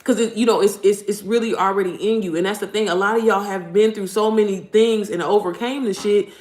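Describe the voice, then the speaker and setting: A young woman talks fast and bluntly, scattering profanity and slang as she coaches her viewers. Her voice rings in an echoey room, and her pitch sits in the middle for a woman. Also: steady tone, darkish tone. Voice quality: deep voice. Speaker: online business coach. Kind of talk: casual motivational vlog talk.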